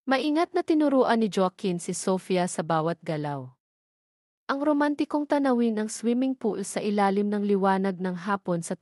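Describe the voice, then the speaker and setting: A woman's voice: mid-range voice, a little mellow, no room sound. Filipino woman narrating a story, dry close sound. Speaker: audio drama narrator.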